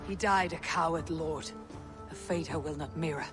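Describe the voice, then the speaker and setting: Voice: mid-range voice, moderate pace, music in the background, close to an everyday voice. An actor playing a proud, defiant young Viking warrior in a video game, blunt and challenging. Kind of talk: dramatic video game acting.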